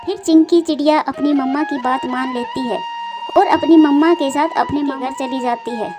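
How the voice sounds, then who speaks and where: A woman's voice: sits high, moderate pace. A woman reading scripted narration for a cartoon moral story. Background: clear background music.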